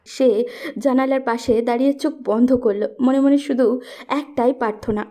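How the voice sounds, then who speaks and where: Mellow, higher-voiced woman narrating a romance. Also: steady tone, moderate pace. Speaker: story narrator. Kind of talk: scripted romantic story narration.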